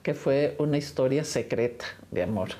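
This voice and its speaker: An older woman from Costa Rica, a film director, talking about her work in a reflective, unhurried interview. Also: extremely low pitch, lively intonation, warm tone.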